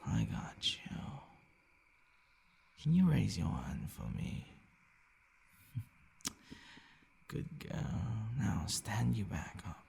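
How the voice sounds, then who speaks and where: A man playing an imperious but secretly softening male vampire in an ASMR roleplay, drawling theatrically with murmured asides. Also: lowish voice, wildly swooping pitch, very slow, vocal fry, long pauses, a little hiss or hum, heavily acted. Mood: hypnotic, commanding.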